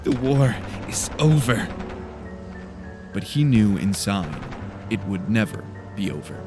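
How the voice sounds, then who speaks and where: A man narrating dramatic fiction from a script as an audiobook storyteller. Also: lowish voice. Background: faint music.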